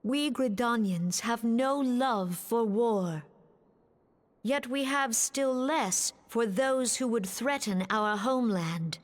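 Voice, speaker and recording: Gentle noble stateswoman, fantasy game: fairly high, slow, formal and solemn. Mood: solemn, resolved. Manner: solemn, measured, formal archaic phrasing. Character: gentle, solemn, noble female leader of a nation. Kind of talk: fantasy video game acting.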